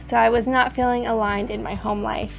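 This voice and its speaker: A woman's voice: fairly high pitch, level tone, fairly quick, gravelly, soft background music. A woman from Canada talking casually in a documentary interview, on a lo-fi earphone mic.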